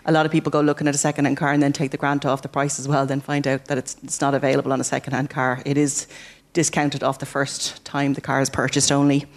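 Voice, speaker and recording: Quick pace, flat delivery, explanatory, agency representative. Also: medium pitch. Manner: brisk, explanatory. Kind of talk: explaining grants in an interview.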